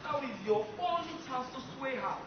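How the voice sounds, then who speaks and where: A man's voice: extremely high pitch, expressive, normal speed. A man playing a scheming, ceremonious villager in a theatrical stage play. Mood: urgent. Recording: echoey hall, dull audio.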